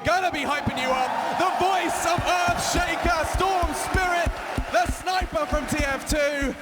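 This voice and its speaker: A man's voice: very high-pitched, unhurried, a loud crowd. A man hosting a live event stage show, bantering and laughing. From Netherlands. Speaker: event host. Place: large event hall. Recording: long hall reverb, loud background noise.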